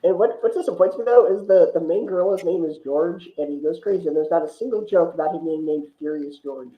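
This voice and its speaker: A chatty man talks casually about films as a podcast guest, often ready to chuckle. His voice sits in the middle to higher range for casual talk, heard through a dull recording with clear room echo. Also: quick pace. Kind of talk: casual film discussion. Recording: dull, echoey room sound.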